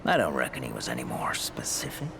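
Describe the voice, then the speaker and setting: A grumbling video game trader. His deep voice swings widely in pitch, wry and grudging, with homespun grammar. Background noise sits behind him. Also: wildly swooping pitch, quick pace, creaky, exaggerated voice. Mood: wry, sceptical. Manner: grumbling, wry, colloquial drawl with folksy grammar. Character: gruff, folksy, grudging male supply merchant. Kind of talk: video game character dialogue.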